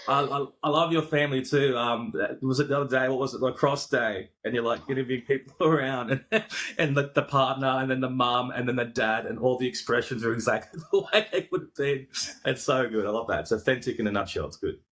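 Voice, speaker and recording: A man's voice: mid-range voice, fairly quick, a bit bright. An Australian man, a podcaster and coach, talking in a casual, rambling way with lots of fillers and restarts over a video call. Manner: laid-back, rambling with frequent fillers and restarts. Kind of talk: casual interview chat.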